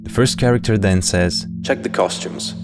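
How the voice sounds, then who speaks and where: Man, low voice, scripted commentary, casual wry host, music playing behind. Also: moderate pace, gravelly. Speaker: host of a horror series breakdown video.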